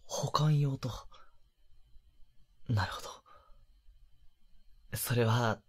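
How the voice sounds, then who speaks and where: Man acting bashful young man, soft breathy voice. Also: mid-range voice, extremely slow. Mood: flustered, apologetic. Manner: hesitant, bashful, apologetic, gentle. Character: polite, flustered young man, secretly an online idol. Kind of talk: romantic situation voice drama.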